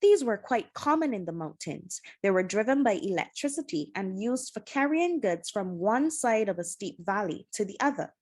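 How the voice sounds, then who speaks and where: A woman reading a children's adventure novel aloud as scripted narration, with expressive, dramatic storytelling. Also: medium pitch. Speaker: storybook reader.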